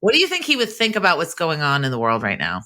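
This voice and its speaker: A woman hosting a podcast, talking with lively enthusiasm. Her voice sits low, yet it rings bright and forceful. She is from the Boston area. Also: quick pace. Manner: upbeat, enthusiastic. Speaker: podcast host. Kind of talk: podcast interview and intro.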